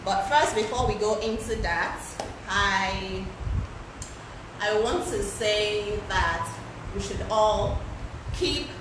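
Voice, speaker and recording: Loud woman teaching in an echoey room. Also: medium pitch, takes it slowly. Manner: repeats words for emphasis, chatty asides. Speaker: fashion pattern-drafting instructor. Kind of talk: live-streamed class, casual instruction. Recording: echoey distant livestream with background noise.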